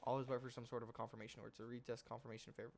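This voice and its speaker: A man's voice: medium pitch, little pitch movement, rapid-fire, vocal fry, darkish tone. A man with a stuffy nose from a cold, host of a trading channel, talking through his trades in a loose, rambling, slangy way that keeps correcting itself. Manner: slangy and informal, mild swearing. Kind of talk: casual screen-share trade recap.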